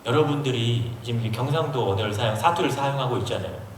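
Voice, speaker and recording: A man's voice: mid-range voice, fast, a little hiss or hum. A man from Seoul preaching a sermon as a pastor, explaining a passage and putting questions to his listeners. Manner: explains and asks the audience questions. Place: large university auditorium. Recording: echoey hall from far off.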